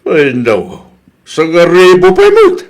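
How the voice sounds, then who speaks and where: Man acting puzzled husband, wildly swooping high voice. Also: wildly swooping pitch, slow, exaggerated voice. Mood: surprised. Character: middle-aged village husband, comically slow and puzzled. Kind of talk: Ilocano comedy radio drama acting.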